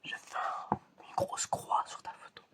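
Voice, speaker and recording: A man playing a stern, menacing examiner in an ASMR roleplay, whispering slowly and deliberately. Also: sharp tone. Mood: quietly menacing. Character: stern, menacing examiner testing a suspected robot.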